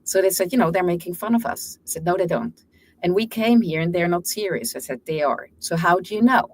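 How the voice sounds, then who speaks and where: Woman, first language German, frank anecdotal guest, lowish voice, light background noise. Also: fairly quick, a little mellow. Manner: frank, storytelling with restarts. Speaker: guest lawyer. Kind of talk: casual interview talk.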